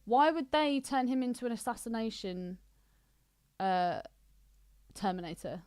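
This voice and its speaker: A woman's voice: a little high, lively intonation, measured pace, a little mellow, smooth, no room sound, studio quality. A British woman co-hosting a podcast, chatting casually and informally with teasing, sweary banter. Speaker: podcast co-host. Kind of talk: casual film podcast chat.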